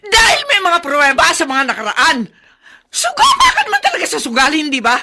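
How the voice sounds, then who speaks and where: A woman in a radio drama playing an angry, accusing wife, shrill and scolding. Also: wildly swooping pitch, fairly quick, very noisy, exaggerated voice. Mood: furious, accusing.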